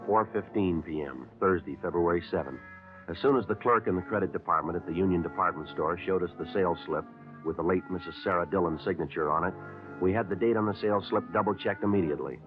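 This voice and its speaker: A man plays a terse police sergeant in a 1950s radio drama, very low-pitched and rattling through his report at a quick clip. He sounds clipped and flat, with no fuss. The audio is thin and tinny, with clear music underneath. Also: close to an everyday voice. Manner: clipped, flat, matter-of-fact. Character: terse male police sergeant narrating a case. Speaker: detective narrator.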